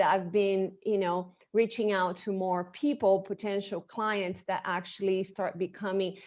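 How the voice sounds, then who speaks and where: A woman's voice: mid-range voice, a little mellow. A woman whose first language is Portuguese, a guest in an interview. She talks in a chatty way over a video call, often restarting her sentences and breaking into short laughs. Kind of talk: casual interview chat. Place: home with a lake view.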